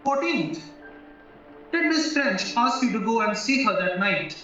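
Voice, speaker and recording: A man acting in an audio play as a stern, skeptical defence lawyer, questioning in a formal, measured way. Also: very high voice, animated, sharp tone, heavily acted. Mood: probing. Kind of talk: stage-style audio drama acting. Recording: very echoey, muffled, distant, music underneath.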